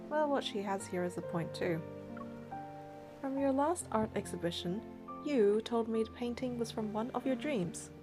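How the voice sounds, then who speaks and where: A woman reading game narration and dialogue aloud, with casual commentary of her own. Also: medium pitch.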